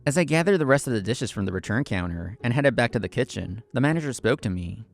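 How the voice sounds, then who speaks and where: A man's voice: mid-range voice, expressive, fast talker, gravelly, music in the background. A man playing an earnest, polite young café assistant manager, narrating his own story in scripted first person. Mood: earnest, reflective. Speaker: narrator and lead actor of a manga story video.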